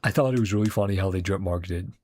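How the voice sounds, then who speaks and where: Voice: deep voice, very fast, a little mellow. A streamer reacting to a game in a casual, chatty, rambling way, sometimes reading dialogue aloud. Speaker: gaming streamer reacting to a story quest. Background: game music.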